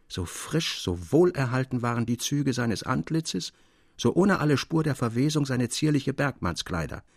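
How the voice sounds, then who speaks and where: A man giving a measured, solemn literary narration, as for an audiobook. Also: medium pitch, no echo, studio quality.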